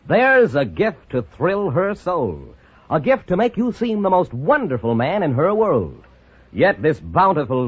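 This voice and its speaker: Hugely animated American radio pitchman, slightly husky. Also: no room sound. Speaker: commercial announcer. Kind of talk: 1950s radio advertisement read, effusive. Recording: dull, dry old radio recording.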